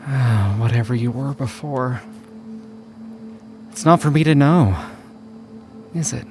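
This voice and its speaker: Man voicing a hushed, gravelly, wistful mortician. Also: mid-range voice, takes it slowly, over music, pitched above the performer's own voice. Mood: wistful resignation. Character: gentle, sorrowful mortician murmuring to the dead.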